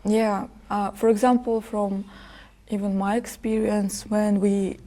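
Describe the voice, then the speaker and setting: A young woman, a student, talking thoughtfully on a podcast with slow, hesitant phrasing. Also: mid-range voice, level tone, dark tone. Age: young adult. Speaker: podcast guest. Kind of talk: casual conversation. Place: podcast studio.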